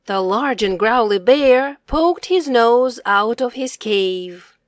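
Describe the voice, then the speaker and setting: A woman reading a children's story aloud in a slow, deliberate, lively voice. Her pitch sits slightly high and swings widely. The recording is close and dry. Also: dry recording.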